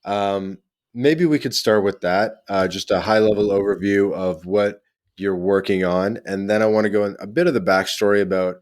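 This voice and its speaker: Low-voiced chatty male podcast host. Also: steady tone, normal speed. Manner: chatty, loose, with fillers and restarts. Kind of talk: casual interview hosting. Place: in Europe, remote.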